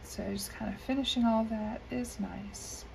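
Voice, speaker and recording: A woman's voice: on the high side, steady tone, a little slow, vocal fry, dark tone. A woman with a scratchy voice chatting casually and unhurriedly while she colors at her desk, with many ums. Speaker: coloring video creator. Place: indoors at a desk. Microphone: overhead camera above desk.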